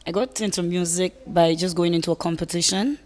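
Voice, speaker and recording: A woman's voice: lowish voice, steady tone, brisk. A woman from Saint Lucia with a Saint Lucian accent, a singer chatting casually as an interview guest.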